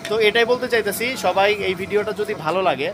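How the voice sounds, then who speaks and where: Fast, high-pitched Bangladeshi man vlogging outdoors. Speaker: vlogger and interviewer. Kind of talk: informal street interview and commentary to viewers. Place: outdoors on a street. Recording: noisy outdoor street with traffic.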